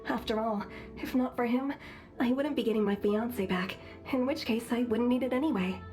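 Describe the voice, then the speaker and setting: A gushing, lovelorn bride-to-be from a fantasy game, played by a woman. She speaks earnestly and insistently in a high voice, full of credulous hope. Music is clearly audible behind her. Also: normal speed, natural voice. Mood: fervently hopeful. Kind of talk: video game character dialogue.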